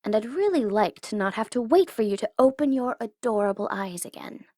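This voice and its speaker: A woman's voice: a little high, animated, a little fast, dark tone, no echo, studio quality. A woman playing a smug tsundere supervillain in an ASMR audio roleplay, taunting and teasing theatrically, at times in a whisper. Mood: impatient, teasing. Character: smug tsundere female supervillain gloating over her captive hero.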